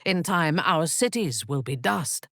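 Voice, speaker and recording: A woman voicing a ruthless, haughty warrior zealot for a video game, speaking in an imperious, measured, menacing way. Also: deep voice, expressive, a bit bright, no echo, clean studio sound, heavily acted. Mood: brooding. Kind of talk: video game character voice lines.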